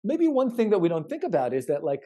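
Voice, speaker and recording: Mellow, slightly high-voiced man explaining haltingly. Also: huge pitch swings. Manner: halting, repetitive, emphatic, checks in with "right?". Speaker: researcher guest explaining his study. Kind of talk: conversational podcast explanation.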